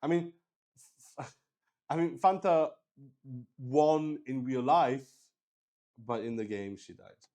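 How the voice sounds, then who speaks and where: A man's voice: medium pitch, slow, pauses often, warm tone. A man hosting a solo tabletop game stream, chatting casually and unscripted in a rambling way that often restarts sentences. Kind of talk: casual livestream chat, unscripted.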